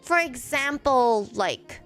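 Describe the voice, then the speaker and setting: Halting Malaysian-raised woman in her forties. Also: sits high, animated, fairly bright tone, faint music behind. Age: 40s. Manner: halting, many fillers and restarts. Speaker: streamer. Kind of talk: live stream chat reading viewer comments.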